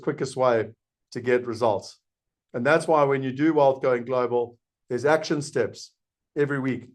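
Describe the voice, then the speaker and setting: A middle-aged South African man speaks earnestly and persuasively, in a medium-pitched voice. He poses listeners' questions and answers them himself, like a live webinar host making a sales pitch. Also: darkish tone. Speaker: investment program host.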